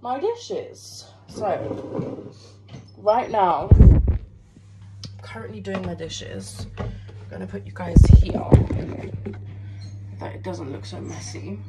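A woman's voice: fairly low pitch, animated, takes it slowly, long pauses, heavy background noise. A young woman chatting to her phone camera while she does dishes in a small home kitchen. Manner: chatty, apologetic, talks while washing dishes. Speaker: vlogger. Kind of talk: casual chat to camera while doing chores. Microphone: phone camera propped on the counter. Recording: loud running water from the sink. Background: running water and kitchen clatter.

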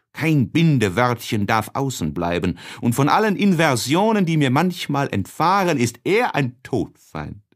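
A male narrator reads literary prose for an audiobook in a mid-pitched voice. His pace is slow and his phrasing deliberate, with expressive shaping of each sentence.

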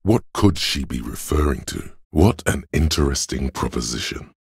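A man voicing a detective's cool, cerebral inner voice of logic in a video game, measured, condescending and dryly analytical, with a gravelly, husky tone. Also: very deep voice, lively intonation, dry recording, studio quality, heavily acted. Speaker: voice actor. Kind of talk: scripted game narration.

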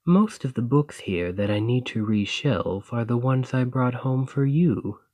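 Man as a slow, tender bookish dragon librarian. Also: mid-range voice, lively intonation, mellow, no echo, lo-fi sound. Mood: chatty, fond. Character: gentle, bookish, lovestruck male dragon librarian. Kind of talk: romantic ASMR roleplay, speaking to a listener.

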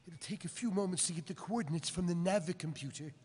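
A man's voice: high voice, fast talker, darkish tone, slightly rough. A man voicing a brash, hammy cartoon space smuggler in a live comic script reading. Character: swaggering high-voiced cartoon take on a macho space smuggler pilot. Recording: echoey convention hall PA.

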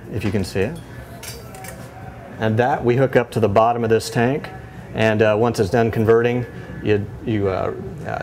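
A man in his thirties, a head brewer giving a casual tour of his small brewery, relaxed and full of fillers and restarts. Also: fairly low pitch, slight background noise. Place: small brewery inside a bar, among steel tanks. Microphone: lapel mic.

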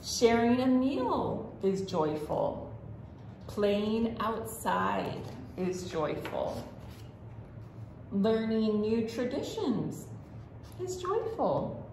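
A woman's voice: on the high side, big pitch swings, drawn-out words, gravelly, long pauses, darkish tone. A woman hosting a children's storytime as a librarian, reading aloud expressively and talking simply to young children. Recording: roomy echo with faint background noise.

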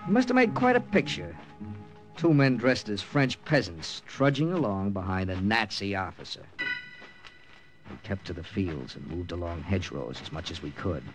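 A man's voice: deep voice, big pitch swings, normal speed, low-quality recording. A man acting a wary, distrustful American captain and secret agent in an old radio war drama, with clipped hard-boiled delivery. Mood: tense, grim narration. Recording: old radio broadcast with loud music.

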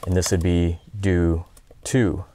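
Low-voiced American man giving a tutorial. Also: little pitch movement, slow, background noise. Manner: conversational, explanatory. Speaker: tutorial instructor. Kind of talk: informal screen-share software tutorial.

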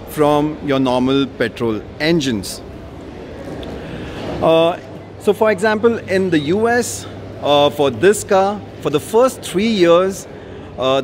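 A man presenting a car on a walkaround at an expo, explaining casually with plenty of ums and uhs. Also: fairly high pitch. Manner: casual explaining with many fillers. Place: large indoor auto expo hall. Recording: noisy expo hall.

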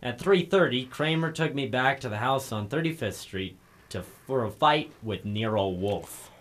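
A man playing a brisk, excitable, wisecracking detective's assistant in old radio drama. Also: medium pitch, natural voice. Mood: wry narration. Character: wisecracking male legman of a private detective. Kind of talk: 1950s radio drama acting. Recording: vintage radio recording with music.

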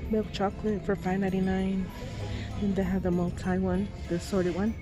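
A woman narrating as she films store shelves, reading out products and prices with soft laughs. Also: mid-range voice, little pitch movement, moderate pace. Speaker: vlogger. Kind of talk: casual shop-with-me narration. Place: large store aisle. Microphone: phone held while filming. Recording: music overlay loud behind voice. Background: store noise and music.

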